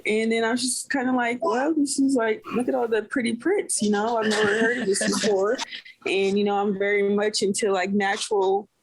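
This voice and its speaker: A woman's voice: mid-range voice, level tone, fairly quick, few pauses, slightly rough, low-quality recording. Rambling woman full of fillers. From San Francisco Bay Area, USA. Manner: rambling, many fillers like "you know". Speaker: guest, cloth diaper brand owner. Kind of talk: casual interview chat.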